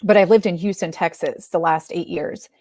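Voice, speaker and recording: A woman's voice: fairly low pitch, fairly even intonation, normal speed, a little mellow. A woman from Ohio, a guest on a talk show, chatting in a friendly way and laughing often. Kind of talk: casual chat.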